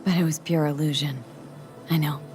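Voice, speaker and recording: A young mercenary woman from a video game, played by an actress. Her voice sits fairly low, with wry, tough, casual delivery that stays warm toward friends. Quiet music runs faintly behind her. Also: fairly even intonation, normal speed, natural voice. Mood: reassuring, reflective.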